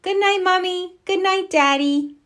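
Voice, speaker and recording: A woman voicing a curious, excitable little girl pig in a storybook, with a childlike, eager delivery. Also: extremely high pitch, measured pace, pitched above the performer's own voice. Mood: excited. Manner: eager, exclaiming.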